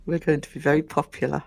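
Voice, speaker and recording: A man, croaky from just waking up, rambling chattily to his live-stream viewers from home and greeting them by name. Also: fairly high pitch. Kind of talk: casual live-stream chat. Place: indoors at home. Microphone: desk microphone.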